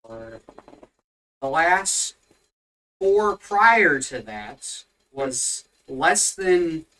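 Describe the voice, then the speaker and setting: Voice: medium pitch, very animated, very slow, sharp tone, recorded from a distance, low-quality recording. A co-host of a casual home faith podcast, rambling and teasing, tired and yawning. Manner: rambling, teasing, easily sidetracked. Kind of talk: casual religious chat podcast. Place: home room with pets.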